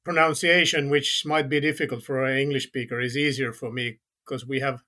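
A man's voice: medium pitch, level tone, sharp tone. A man from Finland with a Finnish accent, a podcast guest speaking carefully in English with frequent restarts. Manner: careful, halting, with many restarts. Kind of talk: casual podcast conversation.

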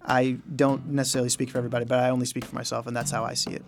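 A man's voice: medium pitch, flat delivery, racing, music in the background. A man answering interview questions as a musician guest, speaking informally and telling things as anecdotes. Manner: informal, anecdotal, quotes his own reactions. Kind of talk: casual conversational interview answers.

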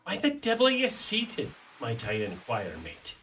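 A man's voice: medium pitch, wildly swooping pitch, normal speed, background noise. A man playing a scheming, wheedling, bombastic Irishman in a radio play. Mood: impatient. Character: scheming, blustering Irishman after his uncle's pension. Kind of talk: amateur radio play acting.

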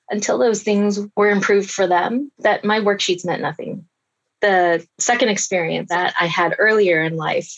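A woman in her thirties answering interview questions in an earnest, reflective and measured way. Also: on the low side, lo-fi sound. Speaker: forensic science researcher, guest.

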